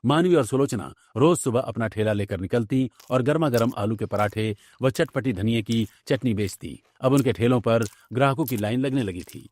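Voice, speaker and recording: A man's voice: medium pitch, normal speed, few pauses, a little mellow. A man reading scripted story narration for a cartoon tale. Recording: background music under the voice.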